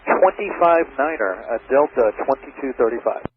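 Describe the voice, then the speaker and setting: A man's voice: medium pitch, expressive, moderate pace. A man working as an airline pilot, giving short readbacks over aviation radio. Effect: radio transmission. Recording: noisy, tinny aviation radio.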